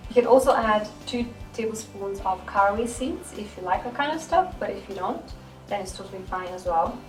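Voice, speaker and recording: A woman's voice: medium pitch, a little fast. A woman talks through a recipe in a conversational tutorial style. The recording is roomy and a little distant from the mic. Music plays underneath her voice. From Lithuania. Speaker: home baker and video host.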